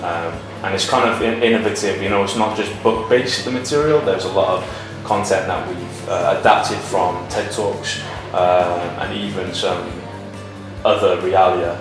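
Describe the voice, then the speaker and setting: A Welsh man with a lowish voice talks in a relaxed way and fills his gaps with uhs. He sits away from the mic in a very echoey room, with music playing under him. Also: a little slow, far from the mic. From Cardiff, Wales. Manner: relaxed, hesitant with many uhs. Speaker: English teacher. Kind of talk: recruitment video interview.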